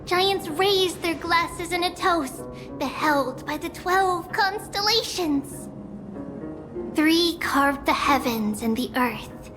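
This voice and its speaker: A high-voiced, serene female bard. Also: animated, heavily acted. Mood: solemn, lyrical. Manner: measured, lyrical, reverent. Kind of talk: solemn poetic storybook narration. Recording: music behind the voice. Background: music.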